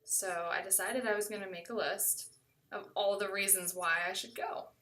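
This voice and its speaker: A woman with an American accent recounts a personal story in a conversational style. Her voice is bright and clearly edged, at an average pitch for a woman. It sounds slightly distant from the microphone. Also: fairly even intonation, normal speed.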